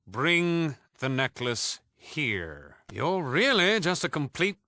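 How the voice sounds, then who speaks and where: A man's voice: a little high, sing-song, slow, pushed and bright, dry recording, studio quality, exaggerated voice. A man with a British accent playing a cocky, mock-polite thief gang leader in a video game, theatrical and sarcastic.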